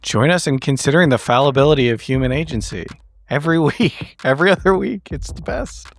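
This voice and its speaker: A man's voice: fairly high pitch, lively intonation, a little fast, creaky. A man chatting casually as a podcast player, with a dry, ironic manner. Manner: dryly ironic. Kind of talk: casual chat.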